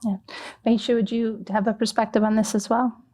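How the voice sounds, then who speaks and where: A woman's voice: on the high side, flat delivery, brisk, warm tone. A woman moderating a business panel, encouraging the speakers and posing follow-up questions.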